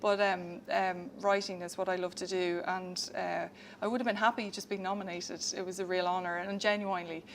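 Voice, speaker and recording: A woman's voice: on the low side, level tone, fairly quick, a little hiss or hum. An Irish woman author, hesitant with surprise in a TV interview. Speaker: award-winning author.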